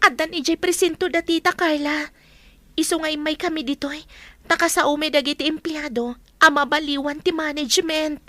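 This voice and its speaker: Young kind lady boss in a radio drama, high bright voice, earnest and emotional. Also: steady tone, normal speed, no room sound. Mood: surprised, friendly. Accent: Filipino. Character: young woman heiress, kind new boss.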